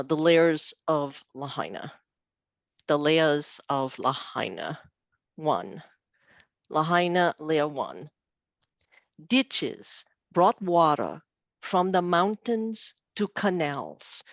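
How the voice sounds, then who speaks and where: A woman from Hawaii with a Hawaiian accent introducing and then reading her poem, unhurried, with hesitant fillers over a video call. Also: sits low, dry recording. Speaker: open mic poet. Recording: dull, dry video call audio.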